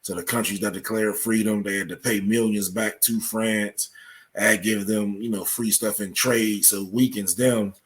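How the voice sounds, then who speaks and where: A man speaking as a podcast guest, explaining things in a measured, halting way with frequent pauses. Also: on the low side, steady tone. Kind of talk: explanatory interview talk.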